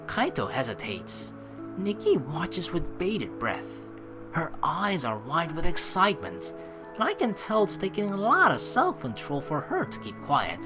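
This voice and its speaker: A woman gives casual game commentary and reads narration aloud. Her low-pitched voice is lively and expressive, with chatty, sweary phrasing. Faint music sits underneath. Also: a little slow. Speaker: let's player.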